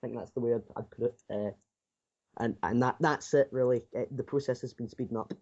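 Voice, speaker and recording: A man's voice: medium pitch, fairly even intonation, brisk, darkish tone. Teen guy in a casual interview, rambles and repeats himself a lot, muffled lo-fi sound. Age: 16, teenager. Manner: rambling, repeats and restarts phrases. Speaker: self-taught indie game developer being interviewed. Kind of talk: casual unscripted interview answers.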